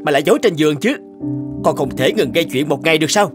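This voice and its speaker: A man's voice: a little high, lively intonation, quick pace, music in the background, lightly acted. Man voicing a stern, short-tempered cartoon dad. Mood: angry scolding. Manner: scolding, exasperated. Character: stern, overworked, short-tempered middle-aged father. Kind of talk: Vietnamese cartoon dubbing.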